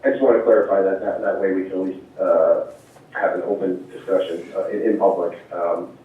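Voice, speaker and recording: A man's voice: low-pitched, steady tone, fairly quick. A man on the board, calling in to a meeting, asking careful questions with hesitant restarts. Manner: careful, hesitant, repeats words. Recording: echoey, distant, phone-like line.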